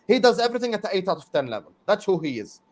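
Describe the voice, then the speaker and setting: Football stream host guy, slightly high voice, emphatic, talks over his cohost a lot. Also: very animated, very fast. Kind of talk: casual football debate on a livestream.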